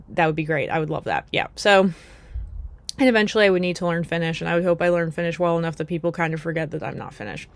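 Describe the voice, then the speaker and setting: A woman's voice: deep voice, dry recording. A young American woman talking through her thoughts in a casual, reflective way, with many fillers and restarts. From United States. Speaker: vlogger.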